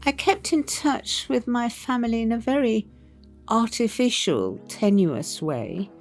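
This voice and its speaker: Older woman, slow and reflective. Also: a little high, lively intonation, faint music behind. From Iran. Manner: thoughtful, unhurried, with soft pauses and fillers. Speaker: writer. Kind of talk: reflective personal storytelling.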